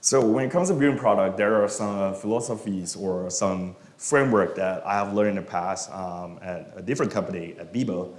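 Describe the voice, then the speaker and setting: A man from Malaysia, a software engineer, answering questions at a meetup in a relaxed, casual way with many fillers, often trailing off quietly. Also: sits low, moderate pace, few pauses. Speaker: guest speaker, software engineer. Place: meetup event room. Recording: echoey room.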